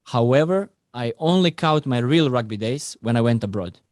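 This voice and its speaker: A man's voice: mid-range voice, moderate pace, no echo. Guy in his 20s, podcast guest, dry close mic, storytelling with lots of uhs. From Croatia. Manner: non-native phrasing, fillers, lively storytelling. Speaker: podcast guest, rugby player. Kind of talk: casual interview storytelling.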